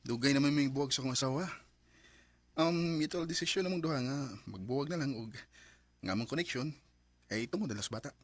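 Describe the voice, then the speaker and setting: A man's voice: mid-range voice, a little fast, long pauses, close to an everyday voice. An actor playing an eager, earnest separated father courting a woman in a radio drama, with a Cebuano accent. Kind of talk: Bisaya radio drama acting.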